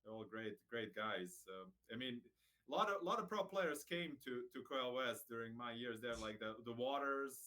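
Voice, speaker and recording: Serbian guy, middle aged, bright punchy voice, chatting casually as a guest on a sports show. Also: medium pitch, expressive, normal speed, poor audio. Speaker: racquet sports director, interview guest. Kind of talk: casual interview chat.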